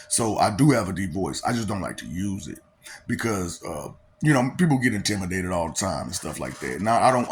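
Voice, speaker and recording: A man's voice: fairly low pitch, vocal fry, fairly bright tone, a little hiss or hum. A man giving a casual, preachy monologue full of rhetorical questions and filler.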